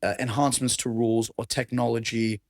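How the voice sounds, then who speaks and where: A man with an Australian accent and a raspy voice, talking enthusiastically as a podcast guest. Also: medium pitch, flat delivery, fairly quick, dry recording. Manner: enthusiastic, conversational, laughs often. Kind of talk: casual chat.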